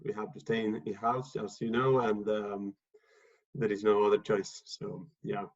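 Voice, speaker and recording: Guy calling in from home, flat voice, lots of stops and restarts, muffled call audio. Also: on the low side. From Argentina. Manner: halting, many repeated words and fillers. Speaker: guest speaker. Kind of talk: casual talk on a video call. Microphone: video call from home. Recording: dull lo-fi video call audio.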